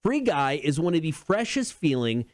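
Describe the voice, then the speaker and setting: A man's voice: on the high side, big pitch swings, moderate pace, dry recording. A man co-hosting a casual entertainment talk show, chatting informally. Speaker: show co-host.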